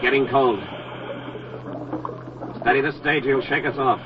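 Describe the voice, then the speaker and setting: Man acting as brave deep-sea diver, clipped orders, 1940s radio acting, muffled narrow sound, heavy background noise. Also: fairly even intonation, unhurried, natural voice. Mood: terse, tense. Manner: clipped, terse telegraphic phrases. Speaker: actor in a radio drama. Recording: noisy, band-limited 1940s radio recording.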